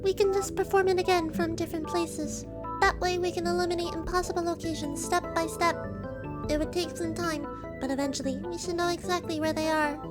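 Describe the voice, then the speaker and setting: A woman's voice: very high-pitched, steady tone, fairly quick, over loud music. A woman voicing a haughty young yokai girl in a radio drama, curt and dismissive. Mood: cool, matter-of-fact.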